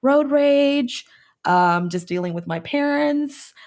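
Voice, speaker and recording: An American woman, a comedian guest, talking in casual podcast chat in an animated, self-mocking way. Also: high voice, slow pace.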